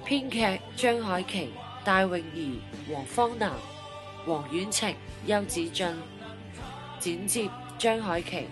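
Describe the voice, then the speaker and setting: A woman's voice: medium pitch, expressive, takes it slowly. A woman reading out scripted radio drama credits as an announcer. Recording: music clearly behind the voice.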